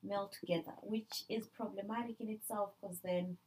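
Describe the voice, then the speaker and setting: A woman's voice: mid-range voice, moderate pace, a little hiss or hum, rough recording. A woman from Canada in a casual conversation, talking animatedly and using playful examples. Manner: animated, uses playful examples and acted-out quotes.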